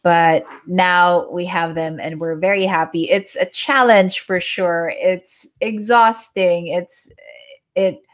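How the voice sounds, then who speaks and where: A woman's voice: lowish voice, fairly even intonation, slow. A woman in her forties with a Filipino accent, a native Tagalog speaker, chatting casually in a live stream at home and answering viewer comments as a yoga instructor. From Philippines (Manila). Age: 40s.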